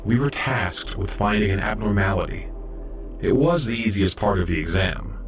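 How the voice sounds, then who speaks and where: Man playing an earnest, low-voiced young robot medic, music behind. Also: lively intonation, normal speed, natural voice. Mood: earnest, reflective. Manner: earnest, explanatory. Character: earnest, mild young male robot trainee medic, narrating. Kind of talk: first-person audio drama narration.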